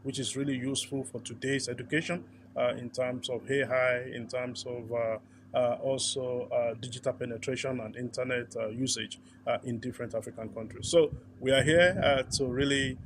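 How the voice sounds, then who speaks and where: A man's voice: mid-range voice, steady tone, moderate pace. A man in an official role, explaining his organisation's aims. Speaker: official speaking about development. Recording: light background ambient noise.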